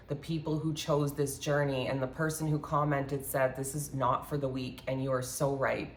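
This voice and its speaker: A woman with a congested, slightly hoarse voice giving a rambling, earnest spiritual talk with many fillers while doing a card reading at her home altar. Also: extremely low pitch, a little hiss or hum. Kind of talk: casual spiritual talk to viewers. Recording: echoey room, faint ambience.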